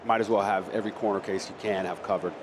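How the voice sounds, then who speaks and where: A man giving analytic color commentary on card game decks, in a quick, explanatory way. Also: on the low side, steady tone, background noise. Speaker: esports analyst.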